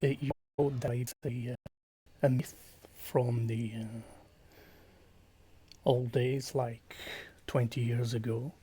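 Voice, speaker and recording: A man from Portugal with a Portuguese accent, chatting quietly on a stream, hesitant, with many fillers and slips into whispers. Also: mid-range voice, level tone, slow pace, breathy edge. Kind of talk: quiet casual chat with viewers.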